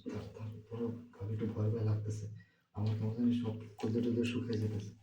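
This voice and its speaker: A man's voice: fairly low pitch, completely flat delivery, fast talker, warm tone, close to an everyday voice. A man acting a timid, nervous, inexperienced young brother-in-law in a short film, stammering and hesitant, with a Bangladeshi Bengali accent. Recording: very echoey room, distant mic, faint noise.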